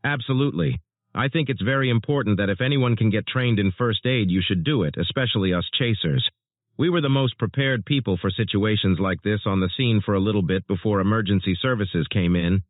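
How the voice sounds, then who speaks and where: A man's voice: on the low side, fairly quick, no echo, natural voice. A man playing a sober, earnest storm chaser giving scripted interview answers. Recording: muffled narrowband phone-like audio.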